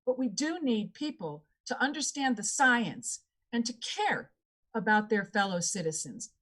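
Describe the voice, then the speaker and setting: A woman's voice: fairly high pitch, expressive, unhurried, a bit bright. Earnest woman candidate. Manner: earnest, repetitive campaign appeals. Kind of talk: debate answer.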